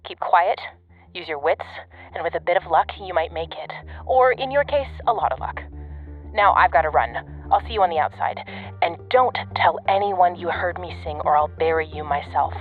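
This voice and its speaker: Through a tinny radio with faint music beneath comes a gruff female secret agent. She is blunt, sardonic and quick to swear, a cornered woman coming apart in a horror audio drama. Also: lowish voice. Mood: gruff, resolute. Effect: radio transmission filter.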